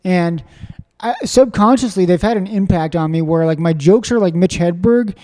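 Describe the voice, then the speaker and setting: A man from Springfield, Missouri, hosting a podcast interview, talking in an excitable, rambling way full of fillers and restarts. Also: sits high, moderate pace, long reverb tail. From Springfield, Missouri, USA. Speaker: podcast host. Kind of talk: casual conversational interview.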